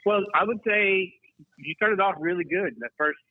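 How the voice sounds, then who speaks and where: A man chatting casually as a guest on a talk show, easygoing and halting in the way he explains things. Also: high-pitched, phone-quality sound. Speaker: guest correcting pronunciation and commenting on cigars. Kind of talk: casual chat.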